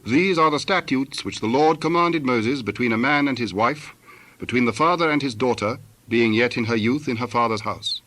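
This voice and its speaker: A man's voice: mid-range voice, moderate pace, a bit bright. A man narrating scripture from a script in a formal, solemn manner.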